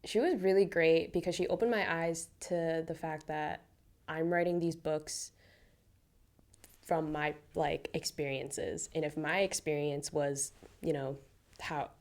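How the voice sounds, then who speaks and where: A woman's voice: low voice, measured pace. A woman guest on a podcast, an author, telling self-deprecating stories, laughing often and filling her talk with "like". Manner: animated, laughs often, many "like" fillers; self-deprecating anecdotes with acted-out quotes. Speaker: guest, children's book author. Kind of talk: casual interview storytelling.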